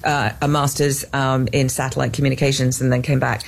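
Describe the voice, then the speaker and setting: A woman's voice: extremely low pitch, level tone, fairly quick, vocal fry. An English woman in her fifties, a scientist guest in an interview, talking earnestly with many restarts and fillers. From England. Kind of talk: interview conversation.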